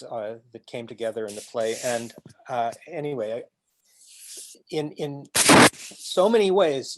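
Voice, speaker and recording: A middle-aged man with a medium-pitched voice speaks in a halting, hesitant way. He is chatting informally as a playwright on a video call. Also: slight background noise, poor audio. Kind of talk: casual post-show discussion. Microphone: laptop video call.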